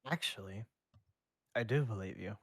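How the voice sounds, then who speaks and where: A man voicing a calm, gentle half-ghost teenage boy in a soft, reassuring way. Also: fairly low pitch, moderate pace, no room sound, clean studio sound.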